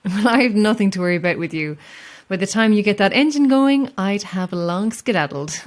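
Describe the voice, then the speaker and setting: An actress playing a guarded, sceptical lighthouse keeper in a horror audio drama, speaking in a measured, dry way. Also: female voice, medium pitch, expressive, lightly acted. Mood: amused. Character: guarded, sceptical lone lighthouse keeper, woman, wryly amused.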